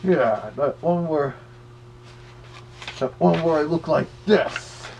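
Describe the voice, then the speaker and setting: A man's voice: fairly high pitch, animated, slow, a little mellow, slight background noise. A man voicing a cartoon Big Bad Wolf who gives chatty, slightly hesitant commentary as a record reviewer. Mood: chatty, informative.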